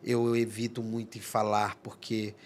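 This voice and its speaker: A man's voice: on the low side, monotone, darkish tone, slight background noise. Earnest man. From Manaus, Amazonas, Brazil. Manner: earnest, halting, many restarts. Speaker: psychologist guest. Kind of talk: casual podcast conversation.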